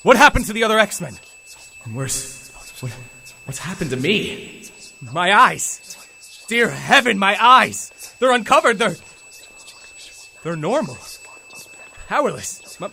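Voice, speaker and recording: A man's voice: sits high, creaky, bright, forceful tone, full character voice. A man playing an earnest, troubled young superhero team leader, commanding and melodramatic, in a fan audio drama. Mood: anguished. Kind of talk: fan audio drama acting with first-person narration. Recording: long reverb, faint background noise.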